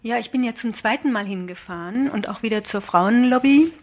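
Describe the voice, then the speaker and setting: A woman's voice: a little high, fairly quick, a little mellow. A woman interview guest speaking earnestly and argumentatively in a radio studio, explaining political views and posing rhetorical questions. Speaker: interview guest, aid convoy volunteer.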